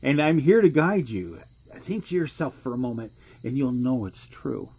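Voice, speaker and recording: A man plays a sinister tempter in a radio mystery, speaking at a middle pitch. He is knowing, theatrical and coaxing, guiding listeners toward the monster within. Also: expressive, normal speed, creaky, a little mellow, husky. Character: smooth-talking sinister male guide to one's inner monster. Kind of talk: radio mystery drama acting.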